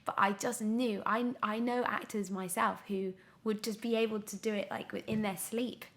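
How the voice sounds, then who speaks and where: Young female actress as podcast guest, gravelly creak, reflective and halting, conversational delivery. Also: medium pitch, steady tone, fairly bright tone. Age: young adult. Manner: hesitant, reflective, thinking aloud. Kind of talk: candid casual interview conversation.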